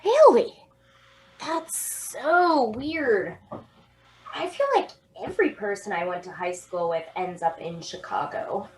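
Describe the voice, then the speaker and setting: A woman's voice: medium pitch, very animated, low-quality recording. A young actress playing a tipsy, giggly woman waiting for a late-night train in a radio drama. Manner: giggly, drawn-out tipsy delivery.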